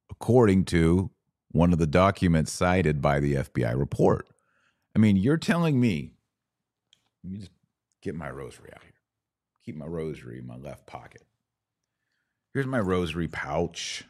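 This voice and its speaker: Deep-voiced man hosting a podcast. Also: warm tone, no room sound, studio quality. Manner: earnest, conversational, rising to indignant emphasis. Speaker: podcast host. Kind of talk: livestream podcast reading viewer comments.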